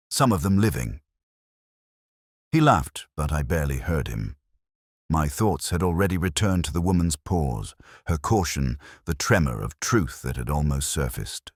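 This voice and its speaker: A brooding male detective , acted by a man, recounts his case as scripted radio-mystery narration. His voice is extremely deep and sits in a dry, close sound. The delivery is measured and literary, with a melancholy, reflective temper. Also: expressive, no room sound, exaggerated voice.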